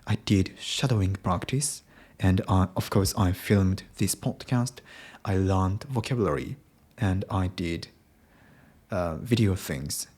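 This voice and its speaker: A Japanese man speaking English with a Japanese accent over a British base, talking alone on his daily podcast in a halting way, often restarting and repeating phrases. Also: low voice, a little mellow. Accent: Japanese-accented English aiming at British. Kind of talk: daily solo podcast talk.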